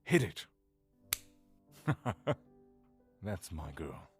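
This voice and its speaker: Gentle dad in audio drama, lowish husky voice, music underneath. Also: male voice, big pitch swings, extremely slow, heavily acted. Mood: protective, encouraging. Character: protective, encouraging father of a young daughter.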